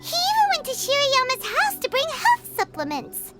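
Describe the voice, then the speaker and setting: A woman voicing a tiny, chirpy and nosy female fairy companion in a video game, excitable and gasping as she talks. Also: extremely high pitch, moderate pace, full character voice. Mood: curious. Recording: game audio with loud music underneath. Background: game music.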